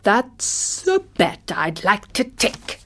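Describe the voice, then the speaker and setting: A woman as a slow, smug, hefty hippo. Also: mid-range voice, very animated, a bit bright, a little hiss or hum. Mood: confident. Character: large smug condescending hippopotamus.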